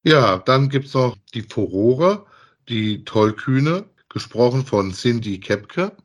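A man co-hosting a casual podcast, speaking in a halting, deliberate way. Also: mid-range voice, expressive. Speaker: podcast co-host.

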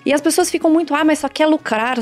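A woman hosting a casual chat, arguing her points in a lively, quick way. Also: sits high, faint music behind.

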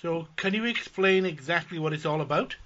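A man with a Welsh accent making a prank phone call, deadpan and mock-earnest about absurd plans. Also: on the high side, fairly quick. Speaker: prank caller. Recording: dull lo-fi audio.

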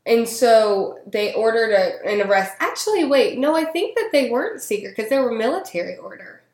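A woman's voice: a little high, sing-song, moderate pace, a little mellow. A woman hosting a casual podcast chat, telling a history story in a chatty way, laughing often and tripping over her words. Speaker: podcast host. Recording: roomy echo.

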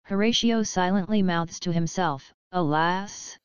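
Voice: medium pitch, unhurried, smooth. Dull-sounding stage-direction narrator. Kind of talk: scripted narration.

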